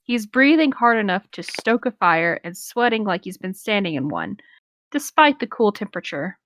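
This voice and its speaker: A woman's voice: medium pitch, very animated, moderate pace, fairly bright tone, dry recording. A woman reading parts of a story aloud and adding brief, casual remarks.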